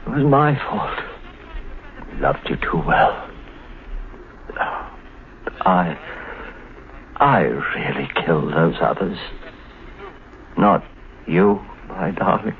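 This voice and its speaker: Through a thin, hissy old radio recording comes a tormented husband, protective and intense. He speaks slowly and haltingly, his middle voice swinging widely in pitch, in classic 1950s radio thriller melodrama. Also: animated, phone-quality sound, full character voice. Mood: weak, anguished.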